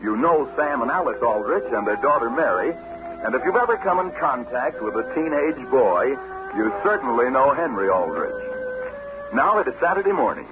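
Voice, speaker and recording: A man's voice: medium pitch, very animated, normal speed. Man, American, vintage radio narrator, swooping intonation, prominent music behind. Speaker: announcer. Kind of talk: 1940s radio scripted narration. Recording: tinny 1940s radio recording.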